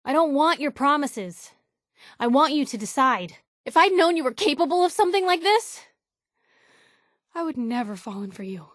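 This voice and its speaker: A woman's voice: high-pitched, big pitch swings, normal speed, a bit bright, dry recording, studio quality. An actress with an American accent playing a feisty, nosy young woman reporter as a game companion, wry, snappy, informal and emotionally direct. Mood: angry, hurt. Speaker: video game companion character. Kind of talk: acted game dialogue.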